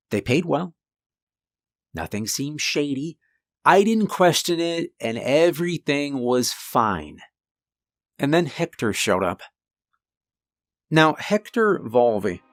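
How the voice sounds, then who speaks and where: A man with a deep voice narrating a first-person horror story in a calm, conversational way. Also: dry recording, studio quality.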